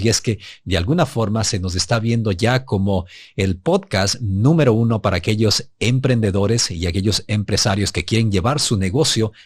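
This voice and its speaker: A man from Ecuador with a neutral Latin American Spanish accent, co-hosting a podcast with a smooth, broadcaster-trained delivery. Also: on the low side, dry recording. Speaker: podcast co-host. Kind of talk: polished podcast talk.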